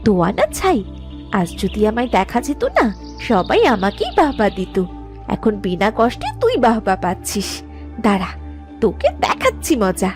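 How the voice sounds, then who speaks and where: A woman's voice: medium pitch, huge pitch swings, moderate pace, soft background music. A woman voicing a feisty invisible young wife in a cartoon, with a scolding, taunting, theatrical manner. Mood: indignant, mischievous. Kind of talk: animated cartoon voice acting.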